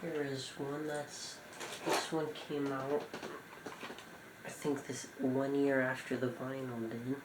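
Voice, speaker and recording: A man with a middling pitch presents items from a collection in a casual way. He speaks in short, halting, informal phrases. The room is echoey and there is clear noise in the recording. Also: level tone. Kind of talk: casual show-and-tell commentary.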